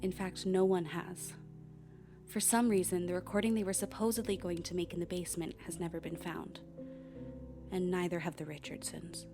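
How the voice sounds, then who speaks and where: An actress playing a haunted, wry young woman who narrates her investigation in a confiding, ominous, deliberate way for a radio play. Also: lowish voice, steady tone, over music, lightly acted. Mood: wry, grave. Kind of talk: dramatic first-person radio play narration.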